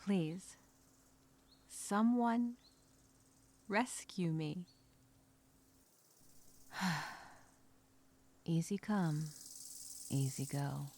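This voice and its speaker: A woman's voice: on the low side, lively intonation, noisy background, exaggerated voice. A woman voicing an anxious, wry castaway speaking her survival journal in a theatrical, conversational audio story. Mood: exhausted, pleading. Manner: conversational, theatrical, halting, with sighs. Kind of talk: solo dramatic monologue, audio journal storytelling.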